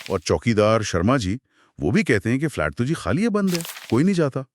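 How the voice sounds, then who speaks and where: A man co-hosting a podcast, retelling a horror story in a dramatic, suspenseful way with pauses. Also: mid-range voice, sing-song, a little hiss or hum. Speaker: podcast co-host.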